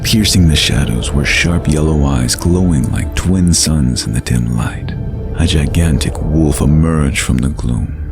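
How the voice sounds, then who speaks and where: A man narrating a scripted fantasy bedtime story in a measured, atmospheric, dramatic way. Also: extremely low pitch, gravelly.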